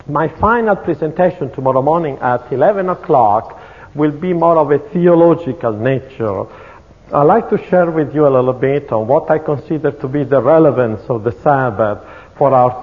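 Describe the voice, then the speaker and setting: A middle-aged man from Italy with an Italian accent, giving an earnest, measured sermon-style talk at a church conference. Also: on the high side. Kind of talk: sermon-style lecture with testimony. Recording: tinny, phone-like, echoey hall.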